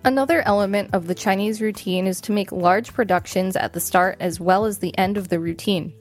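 A woman's voice: medium pitch, a little fast, faint music behind. A female podcast co-host reading an encyclopedia article aloud in a casual, informal setting.

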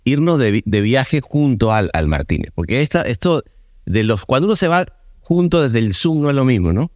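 A man hosts a chat in a playful, halting way, his pitch bouncing around a lot. The sound is dry and close. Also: very animated, darkish tone, no room sound. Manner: playful, halting, lots of fillers. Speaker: podcast host. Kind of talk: casual chat.

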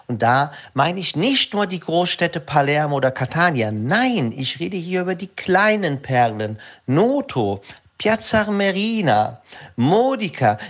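Italian-accented male guest, voice a little high, flowery and theatrical delivery of a prepared travel pitch. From Sicily, Italy. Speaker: guest contributor sending a voice message. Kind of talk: prepared, presentational travel recommendation.

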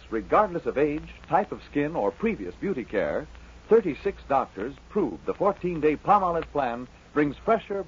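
Male American announcer, 1940s commercial, mid pitch, emphatic delivery, faint noise on an old lo-fi recording. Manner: punchy, emphatic list-like selling. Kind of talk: 1940s American radio soap advertisement. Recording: dull, faintly noisy 1940s radio recording.

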